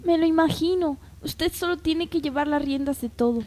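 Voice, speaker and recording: A woman's voice: high voice, normal speed, warm tone, close to an everyday voice. A woman playing a polite, proud young woman in an amateur radio soap opera, earnest and deferential.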